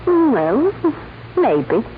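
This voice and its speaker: A coy, lilting 1940s clerk. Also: female voice, on the high side, very animated, very slow, phone-quality sound, heavily acted. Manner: coy, playful hesitations. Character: coy flirtatious female check-cashing clerk. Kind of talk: 1940s radio crime drama acting. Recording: thin, noisy 1940s radio recording.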